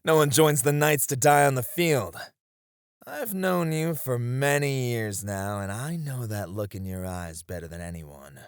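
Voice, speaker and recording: A man's voice: medium pitch, expressive, unhurried, clean tone, no room sound, clean studio sound, natural voice. A man playing a seasoned knight, a wistful, teasing sparring comrade in a romantic roleplay, with reflective, hesitant phrasing. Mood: teasing, curious. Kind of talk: romantic ASMR roleplay acting.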